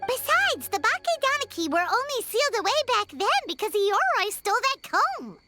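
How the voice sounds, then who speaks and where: Squeaky, pert little fairy companion. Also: female voice, very animated, normal speed, over loud music. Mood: accusing. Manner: pert, exclamatory. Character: tiny childlike fairy companion, chirpy, blunt and indignant. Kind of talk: game voice acting.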